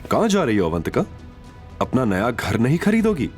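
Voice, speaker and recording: A man's voice: mid-range voice, huge pitch swings, moderate pace, darkish tone, faint music behind. A man playing a proud, wronged young man who speaks firmly and with defiance in an audio drama. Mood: calm, teasing. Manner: firm, indignant.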